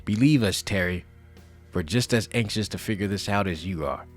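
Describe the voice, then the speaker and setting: A man playing a reasonable, polite police detective in a comic book audio drama, measured and deferential. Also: deep voice, faint music behind, lightly acted. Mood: calm reasoning.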